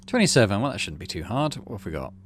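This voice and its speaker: A man's voice: on the low side, very animated, fast, slight background noise. A man doing let's play commentary, reading story text aloud and muttering through his decisions as he thinks aloud. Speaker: game streamer, let's play host.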